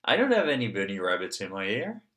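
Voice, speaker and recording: A man's voice: on the low side, huge pitch swings, fast talker, bright, forceful tone, full character voice. A man voicing a giggly, curious little girl piglet in a children's storybook, playful and childlike.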